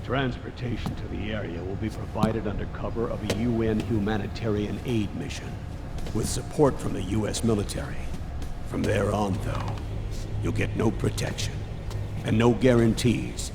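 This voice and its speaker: An actor playing an earnest older military commander in a video game, giving a solemn, measured briefing. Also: male voice, on the low side, creaky, music in the background. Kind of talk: dramatic video game voice acting.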